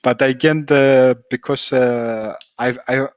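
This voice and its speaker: A man from Germany whose first language is Greek, a guest on a video-call interview, speaking carefully with many pauses. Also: mid-range voice, steady tone, no room sound, clean studio sound.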